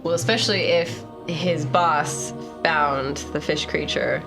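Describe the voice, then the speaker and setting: Lady playing tabletop rpg, lower voice, just chatting casually, music behind her. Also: slow, creaky. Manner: informal. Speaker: tabletop game player. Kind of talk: casual chat during a role-playing game. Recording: background music under the voice.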